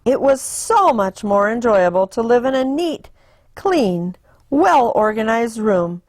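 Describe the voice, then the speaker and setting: A woman reading a children's picture book aloud in an animated, deliberate storytelling manner. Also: on the high side, no echo, clean studio sound. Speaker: storytime reader.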